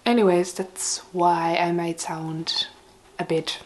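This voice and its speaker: A woman with a hoarse, raspy voice from slight laryngitis, chatting casually and a little haltingly as she reviews books to camera. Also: lowish voice, little pitch movement. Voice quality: raspy, breaking into breathiness. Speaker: book vlogger.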